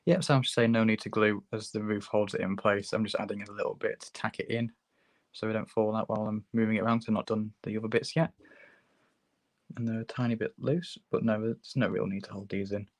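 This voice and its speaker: A hoarse man with a low voice chats easily while he works at a hobby bench, walking viewers through a build step by step. His voice comes across close and dry, with no room sound around it. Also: brisk, no room sound. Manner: relaxed, chatty, talks while working with his hands. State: hoarse, sore throat. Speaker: host demonstrating a model kit build. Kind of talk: casual livestream tutorial. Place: hobby workbench, filmed from overhead.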